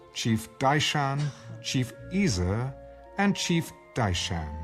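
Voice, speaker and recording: Guy reading the bible like an audiobook, really slow, lots of rise and fall in his voice, solemn. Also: mid-range voice. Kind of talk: scripted scripture reading.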